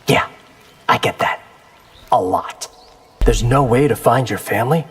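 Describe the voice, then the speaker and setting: An actor playing a cocky, determined young warrior in a video game, casual and wry in delivery. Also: male voice, medium pitch, measured pace, husky. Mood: defiant. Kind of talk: video game character dialogue.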